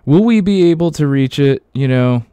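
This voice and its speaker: Joking co-host man with a smooth voice. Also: fairly high pitch, expressive, mellow, dry recording. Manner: joking, teasing, quick laughs. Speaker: co-host of a history reading stream. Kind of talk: casual livestream banter. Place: room at home.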